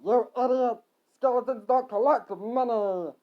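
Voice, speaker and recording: A storyteller voicing hot-tempered skeleton henchmen who bicker and shout indignantly. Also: medium pitch, a little slow, lo-fi sound, voice pushed up, natural voice.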